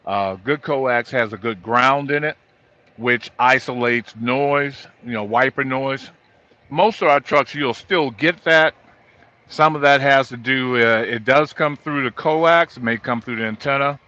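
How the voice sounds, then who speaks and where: A middle-aged or older man, a trucker, giving an informal, halting walkthrough of gear from inside his truck cab. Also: medium pitch, pushed and bright. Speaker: truck driver vlogger. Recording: dull lo-fi audio.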